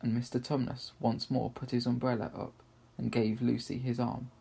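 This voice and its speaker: Male storybook reader, gravelly and slightly rough, expressive narration. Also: medium pitch, moderate pace.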